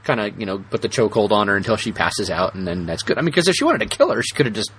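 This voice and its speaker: A man's voice: on the low side, sing-song, rapid-fire, a bit bright. A man co-hosting a casual chat show, joking and thinking aloud in a conversational way, with some background noise. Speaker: podcast co-host.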